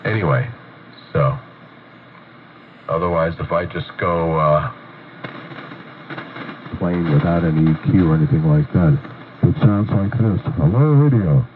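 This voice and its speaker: A very deep-voiced man talks casually over the air, his voice muffled and congested from a cold. The sound comes through a tinny radio receiver under a layer of clear static. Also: unhurried, pauses often. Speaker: ham radio operator.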